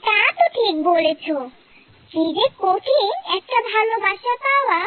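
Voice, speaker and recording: A woman's voice: very high voice, animated, unhurried, no echo. A woman voicing an anxious, protective mother crow in a cartoon, with a plaintive, fretful manner. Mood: weary, worried. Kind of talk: cartoon story dialogue. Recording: muffled narrow-band, phone-like sound.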